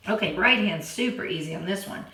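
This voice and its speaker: A hoarse woman who keeps clearing her throat, patiently teaching a children's keyboard lesson and counting beats aloud. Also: medium pitch, expressive, a little fast, heavy background noise. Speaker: piano teacher. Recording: roomy, from a distance. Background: keyboard playing.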